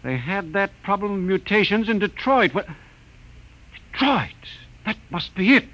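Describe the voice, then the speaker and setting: An American actor playing an intense, brainy space scientist who muses aloud in a vintage radio drama. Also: male voice, sits high, big pitch swings, unhurried, fairly bright tone, full character voice. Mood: excited realization. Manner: intense, theatrical, musing aloud. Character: excitable, brainy male space expedition scientist, obsessive and awestruck. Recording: faintly noisy old radio recording.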